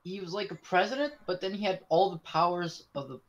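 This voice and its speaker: A young man co-hosting a casual chat, eager and quick to argue and correct the others. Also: high voice, dull audio. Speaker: co-host.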